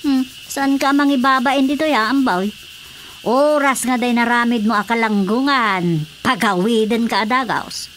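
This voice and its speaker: A woman's voice: on the high side, a little slow, fairly bright tone. A woman playing a stern, admonishing aunt in an Ilocano radio comedy drama, with an Ilocano accent and a matter-of-fact manner. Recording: faint background noise.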